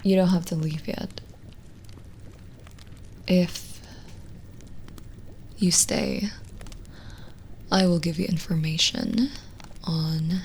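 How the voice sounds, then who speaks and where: A woman playing a lonely, gentle witch in an ASMR roleplay, speaking softly and intimately, often in a whisper, with halting pauses. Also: on the low side, a little hiss or hum, exaggerated voice. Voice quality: soft, breathy, often whispered. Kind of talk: ASMR roleplay, slow soothing scripted acting.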